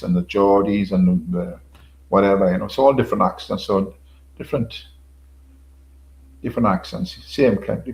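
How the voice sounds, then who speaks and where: A man's voice: fairly low pitch, vocal fry, darkish tone, poor audio. An older man hosting a casual livestream, rambling, repeating himself, swearing casually and chuckling to his viewers. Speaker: livestream host. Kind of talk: casual solo livestream chat.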